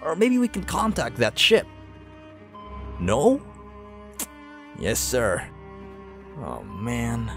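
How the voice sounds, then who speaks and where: A man's voice: medium pitch, huge pitch swings, very slow, vocal fry, pauses often, fairly bright tone, soft background music, voice pushed up, heavily acted. A man voicing a nervous young soldier at sea, hesitant and anxious, in game character style.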